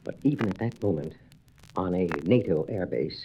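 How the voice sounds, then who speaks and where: A man's voice: low-pitched, lively intonation, moderate pace, dark tone, lo-fi sound. A man narrating a scripted comic book story in dramatic style. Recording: old record with faint noise, clicks.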